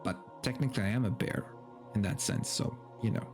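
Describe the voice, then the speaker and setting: A man's voice: lowish voice, fairly quick. Casual male streamer with music behind. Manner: rambling, informal, swears casually. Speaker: streamer reading a story aloud. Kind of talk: casual livestream chat and dramatic story reading. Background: background game music.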